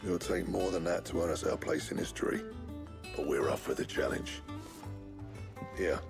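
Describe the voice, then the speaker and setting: A hearty, humble blacksmith from a video game, speaks in blunt, earthy phrases. His voice is low with a heavy rasp of fry in it. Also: normal speed, music in the background. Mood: gruff, modest. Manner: blunt, earthy, colloquial speech.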